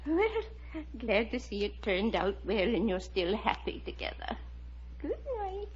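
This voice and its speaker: An actress playing a friendly, folksy, chatty riverside townswoman in a 1950s radio drama, with a husky voice. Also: a little high, very animated, unhurried, full character voice. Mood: friendly, nosy. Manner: folksy, neighbourly. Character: friendly, chatty old-time levee townswoman. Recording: muffled vintage 1950 radio recording.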